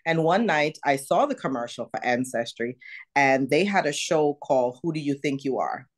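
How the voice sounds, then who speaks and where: A middle-aged woman from Jamaica with a mild Jamaican accent, telling her story animatedly as a guest on a video call interview. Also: normal speed. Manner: animated, jumps high to act out her own past words. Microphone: video call webcam.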